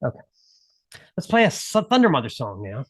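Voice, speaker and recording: A man co-hosting a podcast, talking in a relaxed way with lots of fillers and wry asides. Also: medium pitch, fairly quick, slight background noise. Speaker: podcast co-host. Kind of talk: casual podcast chat.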